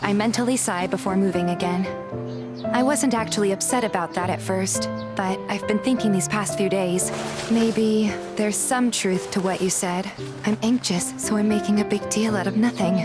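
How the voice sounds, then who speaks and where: A woman's voice: mid-range voice, fairly even intonation, normal speed, natural voice. A woman playing a guarded, feisty young heroine, narrating a romance game in the first person and acting out her dialogue. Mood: wistful. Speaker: narrator and lead in a fan dub. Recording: music behind the voice. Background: music.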